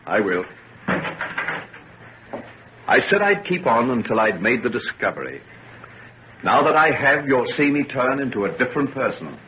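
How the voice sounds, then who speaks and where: An actor playing an earnest, obsessive young gentleman scientist in an old radio serial, with formal theatrical delivery. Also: medium pitch, sing-song, normal speed, long pauses, close to an everyday voice. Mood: exalted. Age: young adult. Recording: dull, noisy old radio recording.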